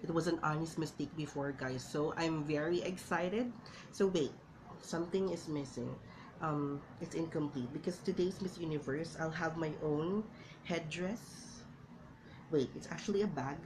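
Young woman, Filipino accent, casual vlog chatter, low-pitched, faint background hiss, small room at home. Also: a little slow. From Philippines. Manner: chatty, keeps calling viewers "guys". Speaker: vlogger.

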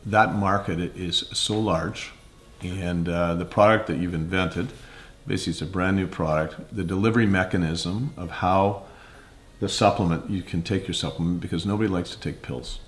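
A man in his fifties with a Canadian accent, telling his story in a casual interview in halting, hesitant phrases. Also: low voice, fairly even intonation. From Ottawa, Canada. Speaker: investor and mentor being interviewed. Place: home kitchen, indoors.

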